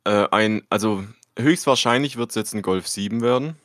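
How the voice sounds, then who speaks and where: Low-voiced chatty podcast man. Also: normal speed, fairly bright tone, no room sound, clean studio sound. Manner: chatty, rambling storyteller, argues details. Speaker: podcast co-host. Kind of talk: casual chat.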